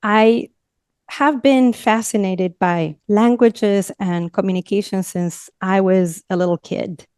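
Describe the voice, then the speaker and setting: A woman's voice: medium pitch, takes it slowly, darkish tone, clear, resonant tone, dry recording, studio quality. A woman from northern Argentina with a Spanish accent, telling her story as a podcast guest in a reflective way. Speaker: guest, communication coach. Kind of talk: podcast interview.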